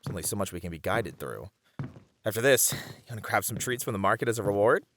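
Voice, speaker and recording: Man acting a playful, protective boyfriend, very animated. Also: on the low side, quick pace, a little hiss or hum. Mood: playful, eager. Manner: teasing, chuckling, conversational reassurance to one listener. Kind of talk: ASMR boyfriend roleplay acting.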